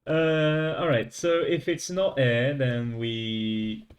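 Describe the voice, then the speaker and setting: A man's voice: medium pitch, steady tone, takes it slowly. A young man from France with a light French accent, thinking aloud as he codes on a livestream and chats with his viewers. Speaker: streamer. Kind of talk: casual coding livestream.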